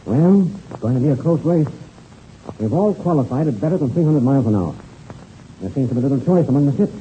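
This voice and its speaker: An actor playing a confident, shrewd mentor pilot in a 1930s radio adventure serial, brisk and colloquial, on a noisy old recording. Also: male voice, mid-range voice, animated, highs cut off, close to an everyday voice.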